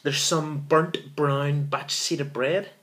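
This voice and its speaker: A man's voice: a little high, fairly even intonation, slow pace, fairly bright tone. Enthusiastic man reviewing a beer at home. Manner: enthusiastic, repeats words, calls viewers folks. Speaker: beer reviewer, channel host. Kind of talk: casual beer review vlog. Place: small room indoors.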